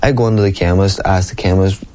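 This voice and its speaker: A man's voice: deep voice, steady tone, fast, a little mellow. A man, a professional boxer talking earnestly and at length in an interview, often asking "you know what I mean". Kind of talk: casual interview talk.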